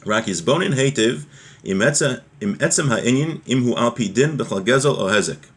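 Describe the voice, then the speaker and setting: A man's voice: mid-range voice, expressive, moderate pace, a bit bright, breathy edge. A man teaching an informal class on religious law, explaining a text step by step with everyday examples.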